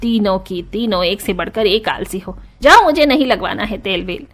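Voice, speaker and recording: A woman's voice: mid-range voice, lively intonation, normal speed, soft background music. A woman putting on a scolding bossy aunt. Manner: scolding, complaining. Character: sharp-tongued older aunt, scolding and bossy. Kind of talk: animated storybook voice acting.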